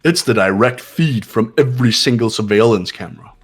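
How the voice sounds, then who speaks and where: A man voicing a cool, authoritative student sleuth in an amateur game dub, speaking with deliberate, formal certainty. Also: medium pitch, slightly rough, soft background music, natural voice. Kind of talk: amateur voice acting of a video game.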